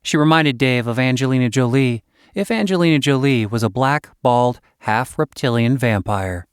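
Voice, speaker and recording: A man's voice: medium pitch, normal speed, smooth, no room sound. A man reading a fantasy story as scripted narration, as an audiobook reader.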